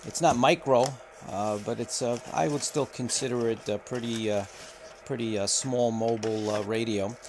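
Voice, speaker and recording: A man in an indoor room, describing gear at an unhurried pace and pausing often with fillers. His voice sits at an ordinary middle pitch for a man. A faint hiss of room noise runs underneath the camera-mic recording. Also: fairly even intonation, darkish tone. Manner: unhurried, many filler pauses. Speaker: radio reviewer. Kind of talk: unboxing walkthrough.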